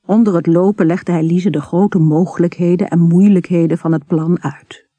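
A narrator reading a literary story in a measured, unhurried manner, with a husky, soft tone. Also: medium pitch, fairly even intonation, dry recording.

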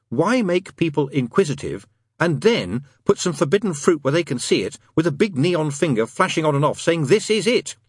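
A sardonic, restless demon, acted out by a man reading a storybook, with a husky edge and big swoops in pitch. He presses his case insistently, tossing out rhetorical questions in a dry, close recording. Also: no room sound. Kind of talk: dramatised audiobook reading.

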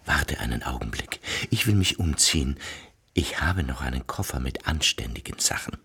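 An actor playing a shabby, weak-willed old baron in a radio drama, speaking in a hesitant, subdued, husky voice. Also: male voice, a bass voice, lively intonation, normal speed. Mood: resigned. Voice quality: gravelly, husky. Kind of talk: German radio drama acting.

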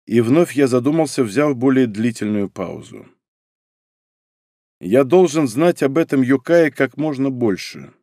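A man's voice: medium pitch, lively intonation, unhurried, dark tone, no room sound, clean studio sound. Man reading a wry audiobook. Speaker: narrator. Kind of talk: audiobook narration.

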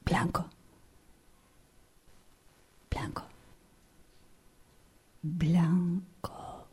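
Husky, whispering woman, very slow. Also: fairly low pitch, flat delivery. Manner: hushed, whispering delivery. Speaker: radio drama workshop student. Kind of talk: acting exercise, reciting colours and numbers.

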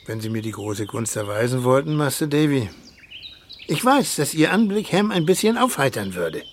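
An actor playing a warm-hearted, humble older fisherman in a radio play, speaking emotional North German dialect. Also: medium pitch, sing-song, moderate pace, a little hiss or hum. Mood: hearty. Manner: rustic dialect speech, emotional.

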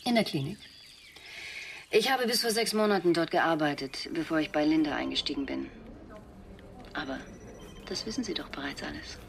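A careful evasive woman therapist, really low creaky voice, radio crime play, bit of noise behind. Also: moderate pace, pauses often. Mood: uneasy, explaining. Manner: evasive, explanatory, precise. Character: guarded, professional married female psychotherapist under questioning. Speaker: actor in a radio crime drama. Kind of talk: German radio drama dialogue.

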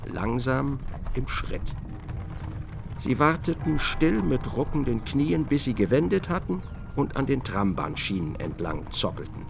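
Male narrator, scripted literary narration, gravelly creak, medium pitch, radio drama. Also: sing-song, a little slow, music in the background.

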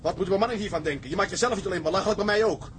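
An actor playing a gruff, proud sea captain in a period seafaring drama, indignant and commanding. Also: male voice, sits high, very fast, a little hiss or hum, natural voice. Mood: indignant, scolding.

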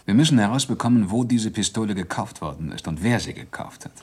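A low, husky male inspector in a radio crime drama. He questions in a terse, measured and businesslike way, sober and probing. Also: lively intonation, a little mellow.

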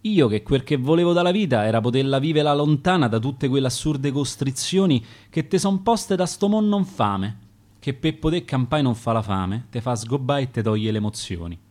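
A man's voice: mid-range voice, darkish tone, lightly acted. A man with a Roman accent voicing a dead, weary market porter who reflects bitterly in rhymed dialect verse.

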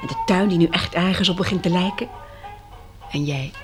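A woman acting in a radio play as a caring, anxious, motherly survivor, with an expressive, emotional delivery. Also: fairly low pitch, moderate pace, music in the background, lightly acted. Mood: rueful, tender. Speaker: actress in a radio drama.

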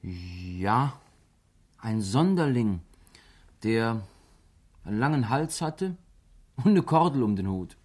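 An actor playing a hesitant witness in a radio drama, answering deliberately and pausing to search for words. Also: male voice, lowish voice, big pitch swings, a little mellow, breathy edge, exaggerated voice.

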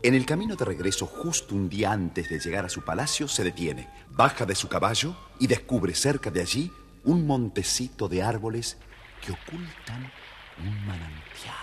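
A man tells a children's tale as its narrator, theatrical and expressive. His voice is fairly low, set in long reverb over soft music. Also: moderate pace. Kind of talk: scripted children's storytelling.

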